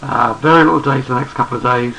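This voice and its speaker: A hoarse man who is losing his voice, talking through market charts in an unhurried, think-aloud way and often repeating words as he goes. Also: mid-range voice, bright, forceful tone, a little hiss or hum, low-quality recording. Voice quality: gravelly. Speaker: trading analyst. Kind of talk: screen-recorded market chart commentary.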